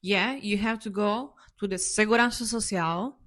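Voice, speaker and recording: Bright-voiced woman. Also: medium pitch. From Italy. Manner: halting, informal non-native phrasing. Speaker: co-host. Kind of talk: casual chat.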